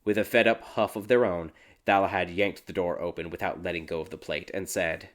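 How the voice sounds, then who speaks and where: A man narrating a dark fairy tale audiobook in a dramatic, scripted storytelling style. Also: low voice, fairly even intonation, fairly quick. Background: background music.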